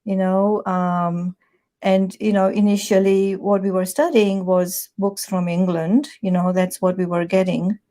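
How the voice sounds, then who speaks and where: A woman's voice: medium pitch, level tone, a little slow, dark tone. A woman in her sixties from Tanzania, a publisher, chatting as an interview guest with many "you know"s and restarts. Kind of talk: conversational interview.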